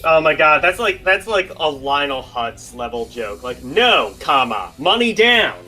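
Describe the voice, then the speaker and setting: A man's voice: fairly high pitch, sing-song, unhurried, bright, forceful tone, soft background music. A man in casual chat, swearing freely and restarting his sentences often.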